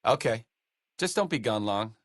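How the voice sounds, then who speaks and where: A man's voice: mid-range voice, animated, normal speed, fairly bright tone, no echo. A man voicing a sardonic middle-aged male doctor in a video game, delivering companion lines with dry, sarcastic wit. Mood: wry. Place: studio booth. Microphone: close studio mic.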